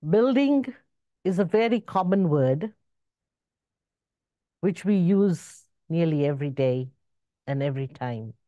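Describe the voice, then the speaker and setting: A woman in her sixties giving a calm spiritual talk on a video call. Her voice sits a little low, moves slowly and swings widely in pitch. The sound is dry and close, from a webcam in a curtained room. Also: dry recording, narrow, tinny audio. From born in Zambia, raised in Zimbabwe. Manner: slow, measured, rising and falling in pitch. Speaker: spiritual speaker.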